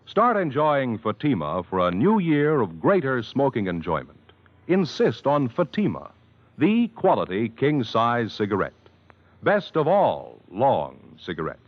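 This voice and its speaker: An American male commercial announcer with a medium-pitched voice that rises and falls dramatically. He sells in a polished, emphatic 1950s radio style, on a muffled vintage recording. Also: wildly swooping pitch, a little slow. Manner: emphatic, polished sales delivery. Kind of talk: 1950s radio cigarette advertisement.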